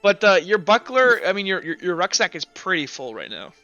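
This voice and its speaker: A man running a tabletop roleplaying game as game master, narrating off the cuff in a halting, conversational way. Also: sits high, very animated, bright, forceful tone, lo-fi sound. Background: music.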